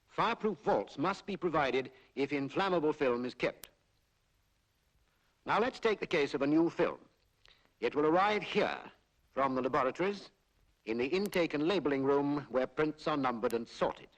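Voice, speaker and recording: A British man narrating a 1940s public information film in a formal, measured, explanatory way, on a period film soundtrack. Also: on the high side, rough recording. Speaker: documentary narrator.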